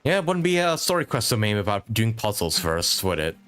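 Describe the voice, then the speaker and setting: Low-pitched man chatting while gaming. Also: sing-song, brisk, a bit bright, clear, resonant tone, faint music behind. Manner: thinks aloud, chatty with viewers. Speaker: live streamer playing a video game. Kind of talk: casual gameplay commentary.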